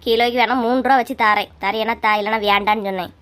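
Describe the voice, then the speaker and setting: Lady doing a touchy middle-aged auntie, high voice, sarcastic kanyakumari tamil, cartoon comedy. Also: steady tone, fast, fairly bright tone, lightly acted. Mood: grumbling. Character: grumbling, easily offended middle-aged village woman in a cartoon.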